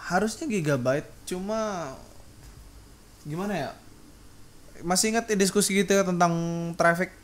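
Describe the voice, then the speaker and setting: A man's voice: high-pitched, normal speed, long pauses. A young man chatting casually as a podcast host, slangy and joking, on a noisy recording. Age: young adult. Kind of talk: casual chat.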